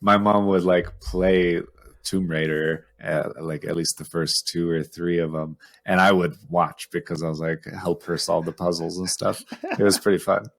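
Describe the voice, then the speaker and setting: A man's voice: deep voice, fairly even intonation, normal speed, creaky. A man co-hosting a podcast, discussing films casually in an informal, explanatory way. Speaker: podcast co-host.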